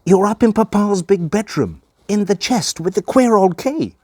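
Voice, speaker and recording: A man's voice: high-pitched, animated, normal speed, mellow, no room sound, clean studio sound, voice pushed up. A man voicing a gleeful small boy playing a guessing game in a storybook poem.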